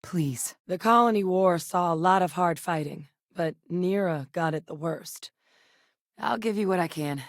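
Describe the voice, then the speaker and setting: An actress playing a capable, guarded soldier-scientist in a sci-fi video game, speaking in a measured, dry, matter-of-fact way. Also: female voice, on the low side, dry recording. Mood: serious, measured. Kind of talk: sci-fi game character dialogue.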